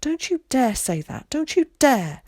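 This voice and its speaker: A woman reading an audiobook, voicing a frantic middle-aged mother, scolding in a high, breathless rush. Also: very animated, moderate pace, no echo, clean studio sound. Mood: fierce.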